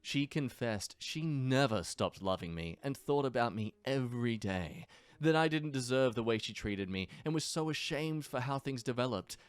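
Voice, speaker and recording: A man's voice: medium pitch, animated, normal speed, clear, resonant tone, dry recording. A young man from England hosting a reaction channel, reading online stories aloud and adding sarcastic asides. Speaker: YouTube reaction host.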